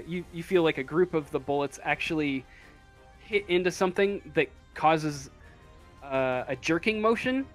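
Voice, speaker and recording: Halting, slow-paced man running a game. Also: a little high. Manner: halting, hesitant, casual delivery. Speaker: game master. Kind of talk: improvised narration of a tabletop game.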